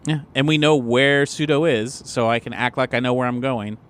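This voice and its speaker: A man's voice: mid-range voice, normal speed, smooth. A man bantering casually as a tabletop game player, informal and given to repeating himself.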